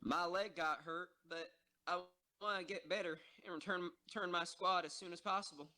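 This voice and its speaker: A woman playing a wounded, shaken young female tank soldier in an audio drama, halting and stammering. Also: on the low side, fairly even intonation, pushed and bright. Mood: earnest, pained. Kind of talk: fan audio drama acting.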